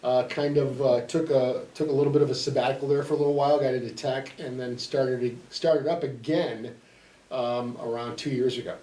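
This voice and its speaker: A man's voice: mid-range voice, fairly even intonation, fairly quick, mellow. A middle-aged man, an insurance broker, chatting as a guest in an easygoing, hesitant way with frequent fillers. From Chicago, USA (born in Sicily). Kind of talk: casual interview conversation. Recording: roomy, distant from the mic.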